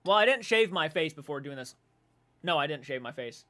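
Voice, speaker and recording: A man in his twenties hosting a casual livestream, reading viewer comments aloud and reacting with animated, swearing banter. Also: a little high, fairly quick. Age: 20s. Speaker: livestream host. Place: dark room at home.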